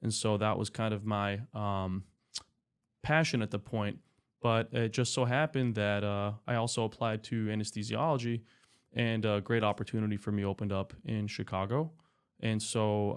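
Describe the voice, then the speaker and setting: A man in his thirties, a doctor and podcast guest, explaining his work in a relaxed, chatty way with many fillers. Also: lowish voice, smooth. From Spain, raised in California. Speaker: anesthesiologist guest. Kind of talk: casual interview talk.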